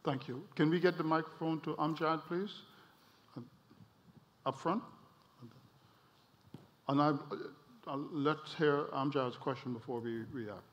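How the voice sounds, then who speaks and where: A man chairs a conference panel, summing up what he has heard in a measured way. His voice sits a little high, and a long hall reverb trails each phrase, with a slightly dull, muffled tone. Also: steady tone, a bit bright. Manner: measured, summarizing, with small repeats. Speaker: panel moderator.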